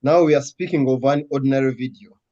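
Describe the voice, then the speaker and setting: Male interviewer, mid pitch, soft dark tone. Casual and hesitant, checking his understanding, on a slightly dull recording. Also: fairly even intonation, quick pace. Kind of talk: casual conversation.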